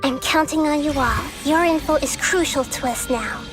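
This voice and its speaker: Actress as caring young girl, high voice, game music behind. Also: moderate pace, natural voice. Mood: gentle.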